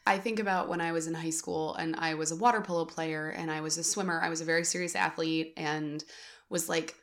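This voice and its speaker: A woman's voice: low voice, a little fast. A young adult woman co-hosting a casual podcast chat, talking candidly with lots of fillers. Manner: candid, animated, many fillers. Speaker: podcast co-host.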